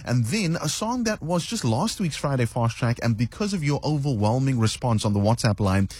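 Upbeat radio DJ, dry studio. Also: male voice, medium pitch, animated, a little mellow, husky, no echo. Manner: brisk, upbeat presenter delivery. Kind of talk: radio link announcing.

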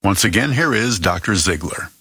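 A man reading a scripted radio program announcement in a husky voice. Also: fairly low pitch, expressive, moderate pace, bright, forceful tone, no room sound.

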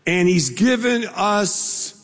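A middle-aged man preaching a sermon as a pastor, telling a story in a relaxed, conversational way with playful asides. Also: high-pitched, slow. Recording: long reverb of a large hall.

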